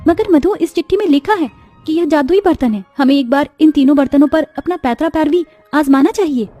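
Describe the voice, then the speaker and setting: A woman's voice: sits high, a little fast, mellow, soft background music, voice pushed up, close to an everyday voice. A woman voicing a poor young village daughter-in-law in a cartoon story, earnest and pleading in a dramatic, plaintive way. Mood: amazed. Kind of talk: Hindi moral cartoon story acting.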